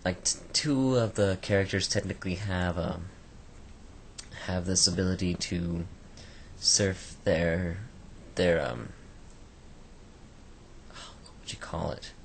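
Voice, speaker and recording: Male book reviewer, very deep and croaky, casual and rambling, faint hiss behind. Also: slow pace, pauses often, poor audio. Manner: rambling, many fillers and restarts.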